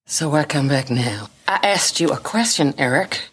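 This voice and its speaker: Cold Southern spy boss. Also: female voice, low voice, lively intonation, normal speed, slightly rough. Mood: cool mocking. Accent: American Southern. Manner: cool and mocking. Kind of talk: film dialogue.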